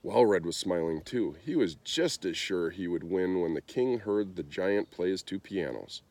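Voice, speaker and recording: A man reading a children's story aloud in an expressive storytelling style. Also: low voice, a little slow. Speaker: storybook reader.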